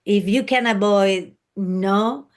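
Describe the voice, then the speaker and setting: A woman's voice: medium pitch, takes it slowly. A woman from Spain with a Spanish accent, a native Spanish speaker, answering as an interview guest in an explanatory, conversational way. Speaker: interview guest, luxury service professional.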